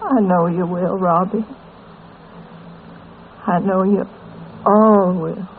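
A woman playing a dying, weary, tender mother in a 1940s radio drama, with weak, halting speech. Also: fairly low pitch, fairly even intonation. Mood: tender, resigned. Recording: muffled, hissy old radio recording.

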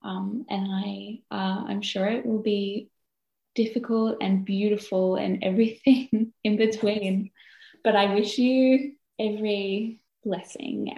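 A woman interviewing a guest, warm and hesitant, with many pauses and ums. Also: medium pitch, steady tone, gravelly, reverberant, lo-fi sound. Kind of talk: gentle interview questions.

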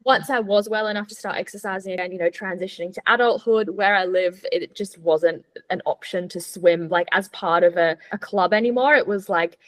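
A woman's voice: mid-range voice, a little fast, few pauses. A young woman from Switzerland and Australia answering interview questions in a casual, upbeat, explanatory way as a podcast guest. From born in Switzerland, moved to Australia as a child. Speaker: podcast guest, sports nutritionist.

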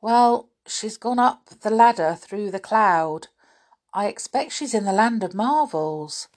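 A woman reading aloud voices a scruffy male red squirrel who brings news, speaking slightly higher and brighter than her narration. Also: lively intonation, takes it slowly. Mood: helpful.

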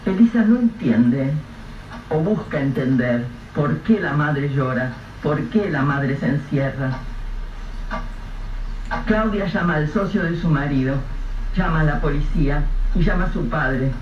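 Male radio drama narrator, measured and grave, slightly higher voice; dull, lo-fi take with light hiss. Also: animated. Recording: echoey, distant, dull lo-fi with faint hiss.

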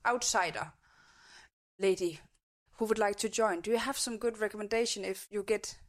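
A woman's voice: mid-range voice, fairly even intonation, fairly quick. A woman with a Danish accent, a native Danish speaker, hosting a podcast interview in a chatty way, laughing often and restarting sentences. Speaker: podcast host. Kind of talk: casual interview chat.

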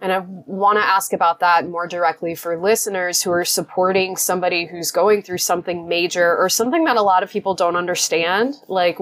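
A woman with a fairly low voice hosts the interview. She asks her questions thoughtfully and at an easy pace, often padding them with "like" and "um". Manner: thoughtful, many fillers like "like" and "um". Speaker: podcast host.

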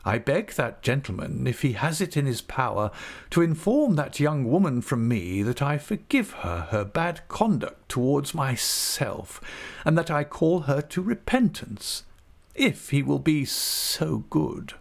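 A man's voice: medium pitch, big pitch swings, a little slow, gravelly, voice pushed up, exaggerated voice. A man reading an audiobook, voicing a prim, self-righteous male manservant with a precise, starchy manner. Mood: sanctimonious.

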